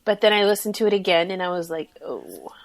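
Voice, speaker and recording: A woman's voice: lowish voice, very animated, fast, gravelly. A woman guesting on a podcast, chatting casually about a book with dry, self-deprecating humor. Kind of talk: casual chat.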